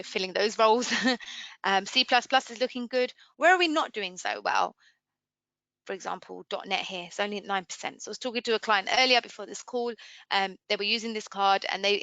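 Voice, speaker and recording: Bright-voiced woman presenting a software webinar. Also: mid-range voice, no room sound, low-quality recording. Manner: explanatory, conversational, posing questions to listeners. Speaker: webinar trainer. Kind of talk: informal software demo presentation. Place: indoors, screen-share webinar voice-over.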